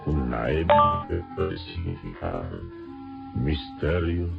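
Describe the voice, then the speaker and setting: An actor playing a noble, mysterious hero in a radio serial, speaking in a solemn, deliberate, dramatic way. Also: male voice, very deep voice, sing-song, vocal fry, loud music behind the voice, full character voice. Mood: ominous, awed. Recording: dull lo-fi radio serial recording.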